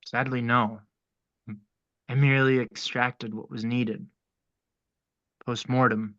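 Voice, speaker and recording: A polite but sinister ritual killer from a horror script played by a man. His mid-pitched voice is measured and formal, calmly threatening, on a dry, slightly muffled lo-fi recording. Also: dry recording. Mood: calmly menacing. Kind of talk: horror script table read.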